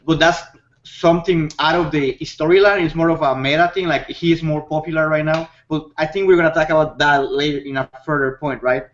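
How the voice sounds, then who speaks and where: A man with a fairly high voice talks through his argument point by point in a casual panel discussion. The recording is dull and lo-fi. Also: steady tone, fairly quick. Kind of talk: casual opinionated group discussion. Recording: dull lo-fi audio.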